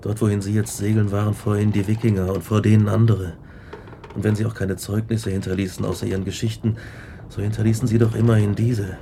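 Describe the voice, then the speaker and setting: An exhausted, haunted man weighed down by guilt and despair. The voice is low, grave and anguished, in radio drama style. Clear ambient noise runs behind it. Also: level tone, quick pace, dark tone. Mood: encouraging.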